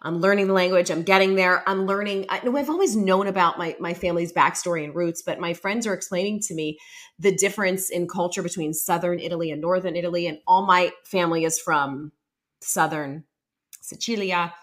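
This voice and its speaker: Halting, reflective woman podcast host. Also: on the low side, fairly bright tone. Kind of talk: casual interview chat.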